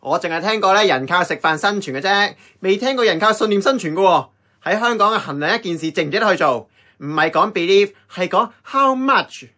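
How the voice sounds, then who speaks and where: A man's voice: high-pitched, expressive, brisk, pushed and bright. A man acting a brash, cynical diner worker with a Hong Kong Cantonese accent and a blunt, complaining manner. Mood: sarcastic. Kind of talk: radio drama acting.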